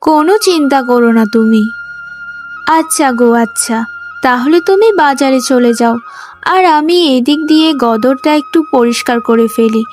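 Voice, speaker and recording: A woman's voice: high voice, normal speed, darkish tone, soft background music, lightly acted. A woman voicing a contented young wife in a cartoon, agreeable and supportive. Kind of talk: cartoon voice acting.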